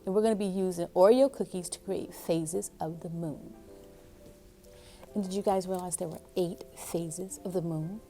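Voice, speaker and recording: A woman's voice: lowish voice, steady tone, moderate pace, mellow, faint music behind. A woman hosting a story time for young children, speaking to them in an encouraging way with frequent questions. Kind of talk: storybook read-aloud and craft instruction for young children.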